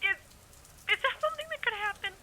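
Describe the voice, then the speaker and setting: A woman's voice: extremely high pitch, animated, fast talker, noisy background. A woman acting in an audio drama as a bossy, hot-tempered leader, commanding and exasperated, urging someone on emphatically. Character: bossy, fierce, hot-tempered woman rallying a weary hero.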